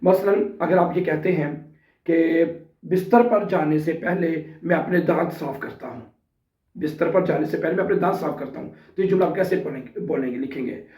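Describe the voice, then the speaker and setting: A man's voice: on the high side, a little mellow. A man with a sore throat teaching a language lesson in a casual way, repeating example sentences word by word. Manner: slow and repetitive, spelling out example sentences word by word. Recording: distant, roomy, dull sound.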